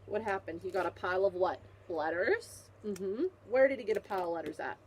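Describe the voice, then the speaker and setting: A woman's voice: mid-range voice, expressive, a little fast, slight background noise. A woman teacher reading a picture book aloud to children, with an instructive manner, repeating questions to prompt her young listeners.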